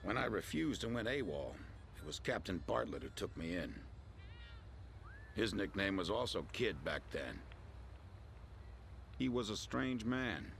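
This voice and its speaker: A reflective, haunted middle-aged air force veteran in a game cutscene. His very low voice is gravelly and rises and falls expressively, speaking deliberately. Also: big pitch swings, fairly bright tone, slight background noise, exaggerated voice. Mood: reflective, somber. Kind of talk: video game cutscene acting.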